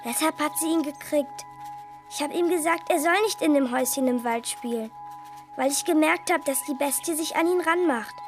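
Imaginative, confiding little girl voiced by a female performer, high childlike voice, radio play. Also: normal speed, over music, lightly acted. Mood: secretive, confiding. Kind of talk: radio drama dialogue.

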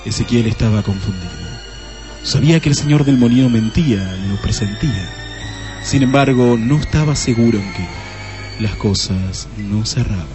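A man's voice: fairly low pitch, normal speed, music in the background. A man reading scripted narration for a radio play.

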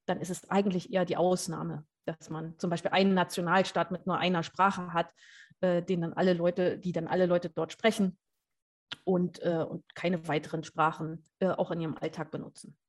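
A woman's voice: on the low side, flat delivery, normal speed. Hesitant, explaining woman researcher. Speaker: guest researcher. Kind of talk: casual podcast chat.

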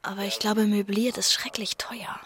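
An actress playing a gentle, hopeful young working-class woman in a radio drama, reassuring and devoted. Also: medium pitch, level tone, moderate pace, natural voice. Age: young adult. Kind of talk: German radio drama acting.

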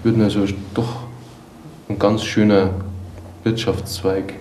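A man giving his opinion in spontaneous speech, with halting and repetitive phrasing. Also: lowish voice, little pitch movement, darkish tone. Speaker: member of the public giving opinions. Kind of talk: spontaneous spoken opinion in original-sound radio collage. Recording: echoey room, faint noise.

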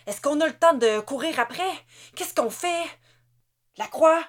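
A woman's voice: sits high, lively intonation, unhurried, pitched above the performer's own voice. A woman doing a cursing, alarmed soldier. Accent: Québécois. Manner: cursing, yelling questions. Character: swearing, alarmed male soldier.

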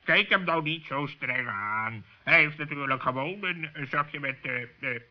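High-voiced, haughty raven played by a man. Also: measured pace, close to an everyday voice. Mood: placating. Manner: chattering, self-righteous. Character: male raven, haughty, sly, defensive chatterbox. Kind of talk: 1960s children's radio play acting. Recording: dull old radio play recording.

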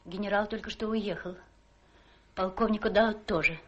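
Breathy, gentle young wife played by an actress. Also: female voice, medium pitch, fairly even intonation, moderate pace, muffled recording, natural voice. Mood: subdued. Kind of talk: radio play acting.

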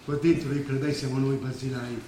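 Actor as a solemn, echoey old professor. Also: male voice, medium pitch, flat delivery, brisk, recorded from a distance, lightly acted. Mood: grave, resolute. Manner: grave, rhetorical, declamatory. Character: solemn idealistic old professor defending the poor. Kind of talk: theatrical Italian stage oratory. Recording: echoey stage hall, faint noise.

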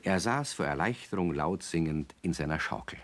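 A man tells a children's story as the narrator of a radio play. His voice is low, with very lively, expressive intonation. The delivery is scripted storytelling. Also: moderate pace.

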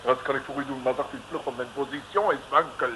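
An actor playing a polite, theatrical French official with a French accent in an old radio comedy. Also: male voice, mid-range voice, fairly even intonation, fast, bright, forceful tone, natural voice. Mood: proclaiming. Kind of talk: 1950s radio comedy acting. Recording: noisy old radio recording.

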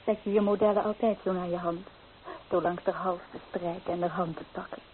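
A woman's voice: lowish voice, fairly even intonation, fairly quick, lightly acted. An actress playing a young, earnest and wistful romantic woman in an old radio drama. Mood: flustered, coy. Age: young adult. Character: young romantic woman longing for freedom, torn. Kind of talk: old radio play acting. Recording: tinny old radio recording, faint noise.

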